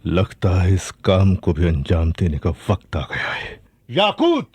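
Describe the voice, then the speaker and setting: A commanding sultan from a historical radio drama, regal and declamatory. The voice is husky and very expressive, recorded close and dry, with a fatherly fondness beneath the measured authority. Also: deep voice, dry recording, exaggerated voice. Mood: stern. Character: commanding Sultan, doting father of a warrior princess.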